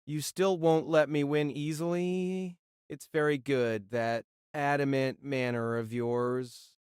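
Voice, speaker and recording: A gallant young spear knight, as a man plays him, proud yet quiet and measured in speech. The voice sits a little high and dry, close to the mic. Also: fairly even intonation, clean tone, no room sound.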